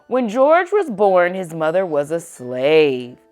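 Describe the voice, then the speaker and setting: Woman, storybook read-aloud host. Slow, lowish voice, simple child-directed asides, music clearly behind. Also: wildly swooping pitch, darkish tone. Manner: slow, simple phrasing with questions aimed at a young child. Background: background music.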